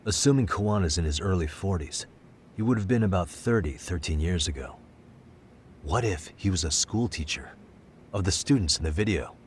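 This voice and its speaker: An actor playing a calm, analytical male detective in a video game, speaking in a measured, thoughtful way. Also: sits low, slight background noise. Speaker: detective lead.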